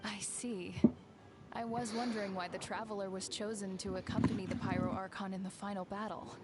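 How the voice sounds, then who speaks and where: A woman's voice: medium pitch, fairly even intonation, fairly quick, very noisy, lightly acted. A woman playing a reserved, thoughtful young tribeswoman in an anime game. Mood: quietly impressed.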